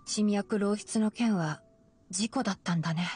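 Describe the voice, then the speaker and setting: A woman voicing a calm, knowledgeable young fox-eared male ranger in a Japanese anime game, explaining things in a measured way. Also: mid-range voice, husky. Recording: game music behind. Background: game music.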